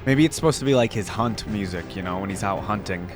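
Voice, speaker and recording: Casual man reacting over loud music. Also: lowish voice, quick pace. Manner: informal, musing, thinking aloud. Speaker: reaction video host. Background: game music.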